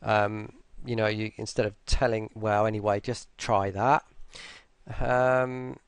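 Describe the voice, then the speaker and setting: Low, creaky, tired man. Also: level tone, slow pace, no room sound. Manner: hesitant, rambling, low-key. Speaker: voice pack creator presenting an update. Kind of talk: casual, unscripted software walkthrough.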